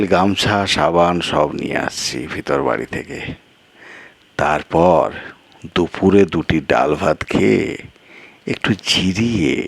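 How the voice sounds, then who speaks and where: A man voicing a crafty, meddling village man in an audio story, coaxing in drawn-out phrases and rhetorical questions. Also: deep voice, gravelly, no echo, heavily acted. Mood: hospitable, coaxing. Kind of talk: Bengali audio story character dialogue.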